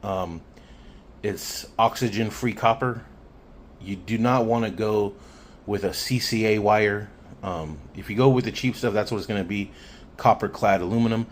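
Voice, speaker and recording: A man's voice: on the low side, moderate pace. A man giving a casual hands-on explanation in a plainspoken, matter-of-fact way, recorded on a phone. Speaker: hobbyist demonstrating radio wiring. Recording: phone recording with background noise.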